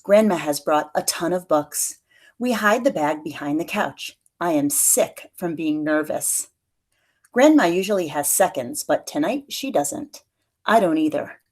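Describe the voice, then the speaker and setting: Lower-pitched woman reading a picture book aloud. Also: a little slow. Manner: expressive storytelling, acts out dialogue lightly. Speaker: teacher reading aloud to pupils.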